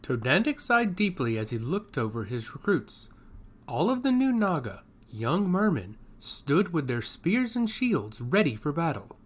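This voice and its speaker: A man's voice: a little high, big pitch swings, unhurried, breathy edge. A man reading scripted narration as the storyteller of a fantasy tale.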